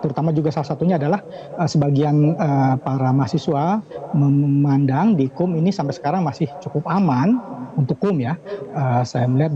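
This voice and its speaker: A middle-aged man answering questions over a remote call, halting and pausing often with filler sounds. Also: on the high side. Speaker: evacuee interviewed by phone. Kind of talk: hesitant phone interview answers. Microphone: phone or video call. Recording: dull lo-fi remote line with crowd behind.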